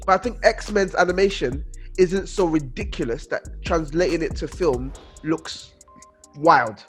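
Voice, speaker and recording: A man's voice: fairly high pitch, measured pace, fairly bright tone, faint music behind. A man in casual chat, talking emphatically and often repeating himself. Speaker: podcast panel member.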